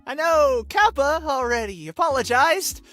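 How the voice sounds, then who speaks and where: A woman's voice: sits high, expressive, unhurried, a bit bright, soft background music. A woman voicing a brash, suspicious police officer who is grudgingly apologetic, in a visual novel. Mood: gruff, grudging. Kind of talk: acting out visual novel dialogue on a stream.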